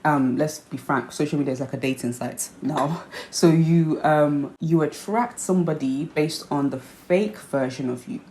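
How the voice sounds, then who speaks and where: Low-voiced woman, hybrid Nigerian accent. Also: a little slow, darkish tone, a little hiss or hum. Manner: earnest, explains by repeating points. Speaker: vlogger host. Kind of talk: casual chat to camera. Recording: echoey room with faint ambience.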